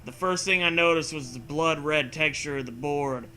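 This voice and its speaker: A sarcastic man with a fairly high, very bright, forceful voice reads a horror story aloud. He switches between mock-serious narration and casual, foul-mouthed riffing. There is some background noise on the recording. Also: normal speed. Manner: sarcastic, profane.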